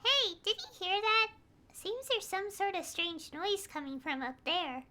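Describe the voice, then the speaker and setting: A woman voicing a small, childlike female fairy companion in a game, squeaky, emphatic, excitable and a little bossy. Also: a little slow, exaggerated voice. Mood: curious. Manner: exclamatory, emphatic.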